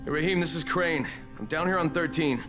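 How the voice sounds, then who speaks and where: An actor playing a steady undercover agent among zombie survivors in a video game. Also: male voice, a little high, a little fast, music in the background, close to an everyday voice. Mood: urgent.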